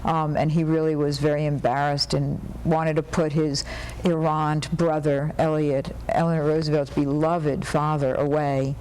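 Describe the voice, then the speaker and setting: A woman biographer explaining her subject earnestly and passionately, pausing with frequent "um"s. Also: sits low, flat delivery, darkish tone, a little hiss or hum, low-quality recording. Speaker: biographer guest.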